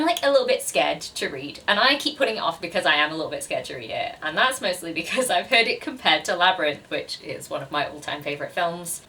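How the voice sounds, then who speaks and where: Female vlogger, twenties, lowish pitch but bright tone, chatty and self-deprecating, recorded a little away from the mic. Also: far from the mic. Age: 20s. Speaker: book vlogger. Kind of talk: casual chat to camera.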